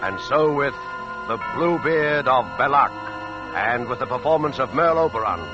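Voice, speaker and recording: A man's voice: mid-range voice, lively intonation, moderate pace. A man introducing a 1940s radio drama as its host, formal and dramatic, on a dull old recording with music behind. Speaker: series narrator and host.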